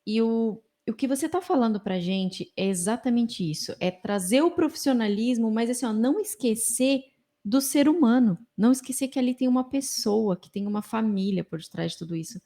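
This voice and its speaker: Brazilian woman, Brazilian Portuguese accent, podcast host; mellow warm tone, chatty and full of fillers. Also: on the high side, expressive, moderate pace. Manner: chatty, many fillers and affirming murmurs. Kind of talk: conversational podcast interviewing.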